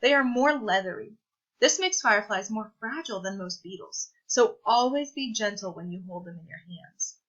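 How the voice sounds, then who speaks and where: A woman reading a children's science picture book aloud, with clear, deliberate, teacherly phrasing. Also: mid-range voice, animated, fairly bright tone, dull audio. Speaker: read-aloud presenter.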